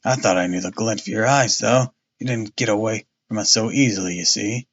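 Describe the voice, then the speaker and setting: A man voicing a gruff, blustering police detective who is easily impressed, in a storybook reading. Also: on the low side, fairly quick, highs cut off. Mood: admiring.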